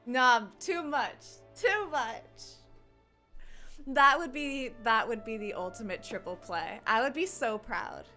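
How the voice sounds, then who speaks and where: High, animated woman streamer. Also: takes it slowly, soft background music. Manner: playful, reactive, teasing. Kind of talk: casual live game commentary.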